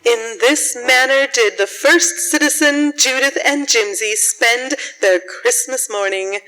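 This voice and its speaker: A woman narrating a scripted story for a radio drama in a measured storytelling manner. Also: on the high side, pushed and bright, rough recording.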